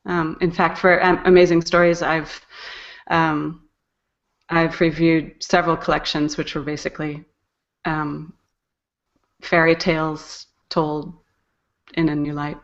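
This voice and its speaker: A woman's voice: sits low, flat delivery, a little slow, long pauses, dull audio. A woman talking casually as an interview guest, hesitant and thinking aloud as she goes. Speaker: guest, poetry podcast editor and narrator.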